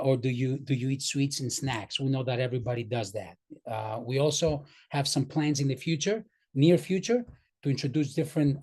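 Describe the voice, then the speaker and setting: A man's voice: medium pitch, a little mellow. A man with a slight Greek accent, speaking earnestly on a video call about his company's products and often repeating words as he goes. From Greek, born in New York. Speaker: vendor presenting his company. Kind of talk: casual business presentation on a video call.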